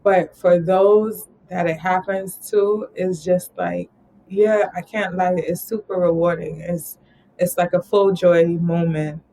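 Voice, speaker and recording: A woman's voice: fairly low pitch, steady tone, takes it slowly, dark tone, poor audio. A woman from New York talking casually as an interview guest, rambling and laughing often. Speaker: guest, travel company founder.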